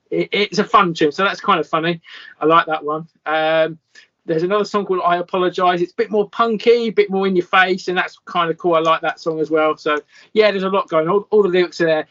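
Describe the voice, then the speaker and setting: A man's voice: a little high, a bit bright, dull audio. A British man in his fifties, a musician guest, chatting in a goofy, self-deprecating way. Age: 50s. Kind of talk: casual interview chat.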